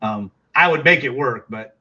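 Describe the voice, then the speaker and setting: A man's voice: medium pitch, normal speed, pushed and bright, muffled recording. A middle-aged man with a Texas accent, chatting casually with viewers on a livestream, rambling with lots of fillers and restarts.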